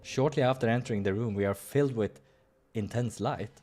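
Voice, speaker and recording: A man hosting a playthrough, reading a visual novel aloud in an expressive way with casual asides. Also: mid-range voice, a little fast, faint music behind. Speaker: let's-play host.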